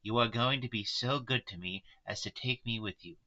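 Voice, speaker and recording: Man reading as an anguished, resolute wife, forceful and bright. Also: medium pitch, moderate pace, muffled recording, lightly acted. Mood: solemn, pleading. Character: anguished, resolute wife pleading with her friends.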